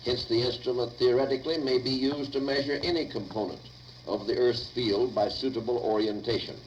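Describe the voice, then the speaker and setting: A man dictating lecture notes aloud in a deliberate, formal way, recorded on 1950 reel-to-reel tape. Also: medium pitch, steady tone, highs cut off, a little hiss or hum.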